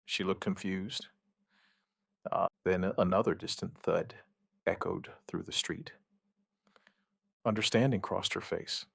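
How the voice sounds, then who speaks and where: A man narrating a scripted first-person survivor horror story, gravely and in short, measured phrases with dramatic pauses. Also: sits low, fairly even intonation, dry recording, studio quality.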